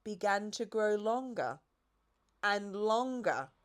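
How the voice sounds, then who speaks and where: A woman's voice: mid-range voice, lively intonation, slow. A woman narrating a children's storybook expressively as an audiobook reader.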